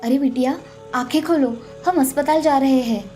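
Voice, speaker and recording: A woman playing a caring, gentle village mother in an animated moral story, tender and reassuring. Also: high-pitched, moderate pace, close to an everyday voice. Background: faint background music.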